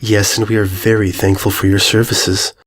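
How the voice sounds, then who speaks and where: A man voicing a calm, regal female pony ruler, gracious and condescending, with unfeeling menace, in a horror story. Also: lowish voice, normal speed, close to an everyday voice. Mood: gracious, pleased. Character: serene, regal mare ruler, politely sinister and unfeeling. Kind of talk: dramatized horror fan fiction reading.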